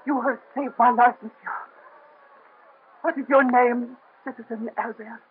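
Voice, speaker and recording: An actress playing a young noblewoman in hiding, soft, earnest and dignified, in an old radio drama. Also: on the high side, fairly even intonation, measured pace, a little hiss or hum, lightly acted. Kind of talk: 1930s radio drama acting. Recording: muffled lo-fi old radio transfer.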